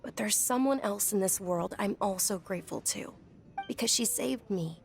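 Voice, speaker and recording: A woman's voice: medium pitch, normal speed, creaky, faint music behind, natural voice. An actress playing a polite but headstrong young noble lady in a game, speaking earnestly. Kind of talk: game voice acting.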